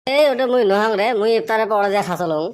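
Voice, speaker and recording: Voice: medium pitch, lively intonation, quick pace, smooth, close to an everyday voice. A performer voicing a cheeky, complaining village youth in a comic cartoon skit. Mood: bantering.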